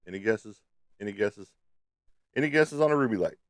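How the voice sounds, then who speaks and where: A low-pitched man hosts a casual livestream in a close, dry sound. He is slightly stuffed up and talks to viewers in a playful, teasing way. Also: lively intonation, fairly quick, no room sound. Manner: playful, teasing, peppered with sung sound effects. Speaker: livestream host.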